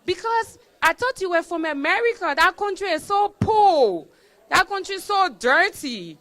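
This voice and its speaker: A woman voicing an indignant man who scolds her at a party, loud and incredulous. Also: extremely high pitch, very animated, moderate pace, voice pushed up, full character voice. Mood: angry.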